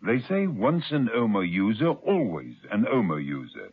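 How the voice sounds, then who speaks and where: A male ad announcer with a low, husky voice and lively inflection. He sells with confident push. Heard through a tinny, phone-like old radio line. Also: normal speed. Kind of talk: 1970s radio commercial voice-over.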